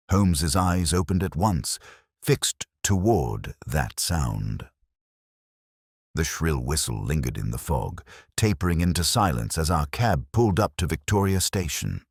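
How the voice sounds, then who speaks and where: A man narrating a mystery story in a measured, solemn, dramatic literary manner. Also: rumbling low, breathy edge, no echo, studio quality. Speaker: audiobook narrator, telling the story as Watson.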